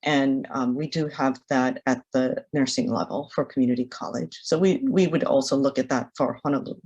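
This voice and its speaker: A woman testifying at a hearing as a university official. She explains things in a measured way, with fillers along the way. Her voice is very low for a woman. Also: steady tone, darkish tone, husky, dull audio.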